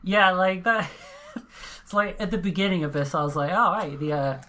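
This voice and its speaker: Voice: mid-range voice, normal speed, bright, forceful tone, a little hiss or hum. Laughing, foul-mouthed podcast co-host. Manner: swears a lot, laughs while talking, trails off and restarts. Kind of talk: casual chat.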